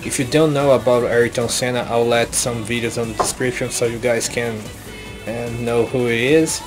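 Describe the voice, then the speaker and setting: Young Brazilian man, Brazilian Portuguese accent, halting and earnest, casual home-made vlog. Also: medium pitch, flat delivery, over music. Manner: halting, many restarts and self-corrections, earnest. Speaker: YouTuber.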